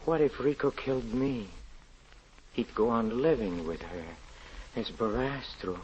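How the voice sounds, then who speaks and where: An actor playing a haunted, grieving European husband confessing a tragedy, halting, stammering and pleading in theatrical 1940s radio drama style. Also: male voice, medium pitch, darkish tone, close to an everyday voice. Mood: desperate. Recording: muffled, noisy old radio recording.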